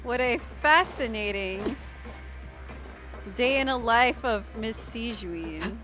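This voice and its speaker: A woman's voice: on the high side, slow pace, music in the background. A woman from the Philippines giving casual live commentary as a game streamer, chatty and reactive.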